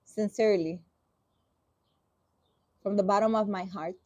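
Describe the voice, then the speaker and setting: A woman's voice: mid-range voice, steady tone, measured pace, a little mellow, slight background noise. A woman reading a dream interpretation aloud and breaking off with sarcastic, exasperated comments. She swears casually and often restarts her sentences. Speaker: spiritual vlogger.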